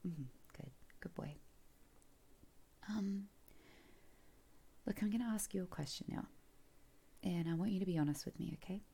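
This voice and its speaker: A low, husky voice with a gravelly edge, a woman acting as a teasing, commanding mother in an audio roleplay. She coaxes softly and slips into whispers, heard up close on the mic. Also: normal speed, long pauses. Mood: soft, coaxing. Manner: soft, coaxing, often whispered.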